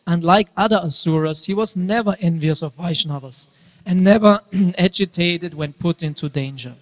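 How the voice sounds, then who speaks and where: A man's voice: high voice, moderate pace. A man narrating a scripted devotional story as part of a dramatic reading. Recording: hall with long reverb.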